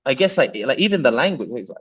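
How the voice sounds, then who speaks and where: A man's voice: mid-range voice, sing-song, quick pace, a bit bright, slightly rough, highs cut off. A man hosting a podcast, loose and chatty, swearing and restarting his sentences. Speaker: podcast host. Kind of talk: casual interview.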